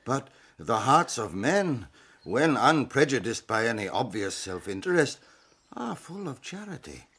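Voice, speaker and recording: A man's voice: medium pitch, big pitch swings, unhurried, fairly bright tone, slightly rough, heavily acted. An actor playing a kindly, hospitable old blind man in an audiobook drama, courteous and formal in manner. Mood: gentle, reflective.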